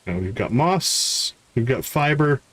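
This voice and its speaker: A man's voice: medium pitch, expressive, measured pace, noisy background. A man doing casual live commentary as he plays a game, chatting in a friendly way with viewers. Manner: friendly, greets viewers by name. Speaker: livestream host playing a farming game.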